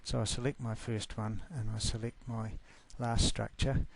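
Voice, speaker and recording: A man from New Zealand with a New Zealand accent, giving an easygoing, informal screencast walkthrough of a software class. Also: on the low side, flat delivery, normal speed, creaky, noisy background.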